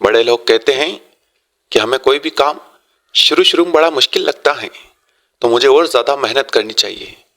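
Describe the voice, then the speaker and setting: A man's voice: mid-range voice, moderate pace, sharp tone, pitched below the performer's own voice. A man voicing a poor, earnest, luckless young painter in a cartoon moral story, with a plaintive manner. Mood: determined.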